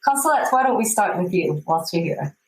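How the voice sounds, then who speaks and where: A woman moderating an online panel, calling on speakers with encouraging, gently hesitant prompts. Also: lowish voice, animated, fairly quick, reverberant, rough recording. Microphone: video call.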